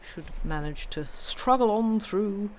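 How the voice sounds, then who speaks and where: A woman with a slightly croaky voice who hosts a live stream and reads ghost stories aloud. She chats in a rambling way and corrects herself before she settles into the reading. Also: mid-range voice, lively intonation, slow pace, darkish tone, a little hiss or hum. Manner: rambling, stumbling, self-correcting chatter. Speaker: storyteller and live-stream host. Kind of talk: casual chat then reading a ghost story aloud.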